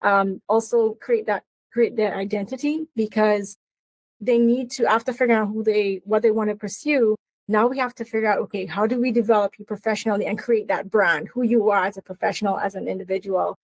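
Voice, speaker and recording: A woman's voice: medium pitch, steady tone, brisk, dry recording, poor audio. A middle-aged woman from Trinidad and Tobago with a Trinidadian accent, an earnest interview guest who talks about her career coaching with many fillers and restarts. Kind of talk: interview conversation.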